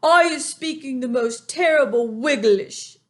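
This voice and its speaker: A woman reading a storybook aloud, voicing a big, kindly male giant who gets excited and mixes up his words. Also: measured pace. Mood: eager. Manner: excited, high and loud, tumbling made-up words. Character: big gentle friendly male giant, muddled speech.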